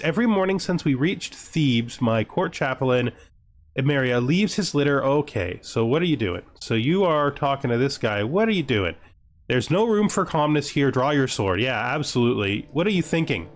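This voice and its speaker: A man's voice: medium pitch, animated, moderate pace. A man giving casual gameplay commentary, reading game text aloud and reacting with dry, offhand humour. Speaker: gaming video host.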